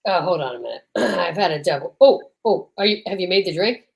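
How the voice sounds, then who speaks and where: A gruff police lieutenant, acted by a woman, dropped well down in pitch. He talks in a dry, officious deadpan, in the manner of an old radio detective show. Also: fairly quick, exaggerated voice. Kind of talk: amateur old-time radio acting.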